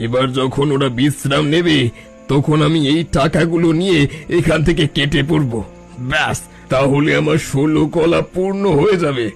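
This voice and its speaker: A man's voice: fairly high pitch, gravelly. A man voicing a sly, wheedling fake holy man in a cartoon folktale. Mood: scheming. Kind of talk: Bengali cartoon folktale acting. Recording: music bed behind the voice.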